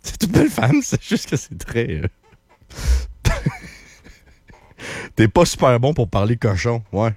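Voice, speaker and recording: A man's voice: medium pitch, wildly swooping pitch, a little slow, pauses often, a little mellow, slightly rough, no echo, clean studio sound. A man with a Québécois French accent hosting a late-night call-in radio show, joking and laughing often between remarks. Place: radio studio. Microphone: studio microphone.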